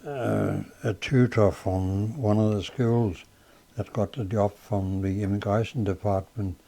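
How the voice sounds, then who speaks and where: An older man with a Danish accent, a native Danish speaker, recalling his life in a slow, halting way with many pauses and fillers. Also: fairly low pitch, fairly even intonation, gravelly, mellow. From Denmark. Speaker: father being interviewed. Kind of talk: casual reminiscing interview.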